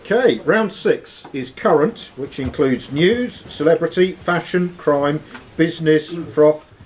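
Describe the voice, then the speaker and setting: A man's voice: fairly high pitch, slow pace. A man working as quizmaster, reading quiz questions aloud to contestants. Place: social club room with players around. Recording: noisy live room recording.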